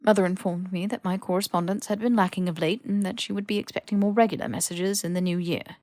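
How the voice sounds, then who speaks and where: A woman's voice: on the low side, steady tone, quick pace, darkish tone, no room sound, clean studio sound, close to an everyday voice. A woman voicing a haughty, snippy young male wizard with sneering, clipped, posh phrasing in an audiobook. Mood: dry. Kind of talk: audiobook character voice.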